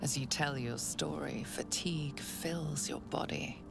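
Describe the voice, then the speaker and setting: A woman narrating a video game in the second person, in a hushed, ominous, whispered voice. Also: deep voice, a little slow, creaky, music in the background, full character voice.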